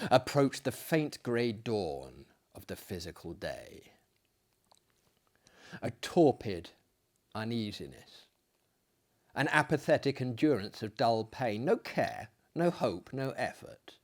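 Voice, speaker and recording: A man giving a theatrical, hammy dramatic reading of a gothic horror story, deliberate and swinging between hushed and loud delivery. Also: lowish voice, very animated. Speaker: dramatic reader, performer.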